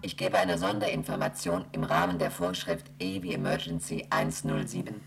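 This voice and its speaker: A woman voicing a station computer that reads out emergency rules in a flat, mechanical monotone. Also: rumbling low, normal speed, vocal fry, soft background music. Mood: dictating. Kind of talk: 1970s radio play acting.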